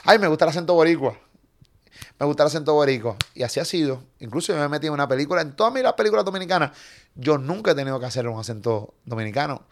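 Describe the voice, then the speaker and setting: A man from Puerto Rico in his forties with a Puerto Rican accent, a comedian chatting casually in an interview and telling stories quickly, with some swearing. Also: fairly high pitch. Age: 40s. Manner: animated, swearing, quick storytelling. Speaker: comedian guest.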